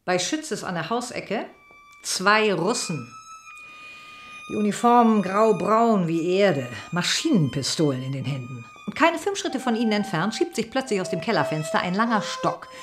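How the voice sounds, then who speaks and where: A woman telling a story in an acted radio play monologue, animated and conversational. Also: medium pitch, moderate pace. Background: music.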